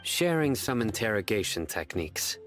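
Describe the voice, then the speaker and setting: A man playing a stoic, stern enforcer in a video game, with a terse, deadpan delivery. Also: lowish voice, normal speed, over loud music. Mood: matter-of-fact.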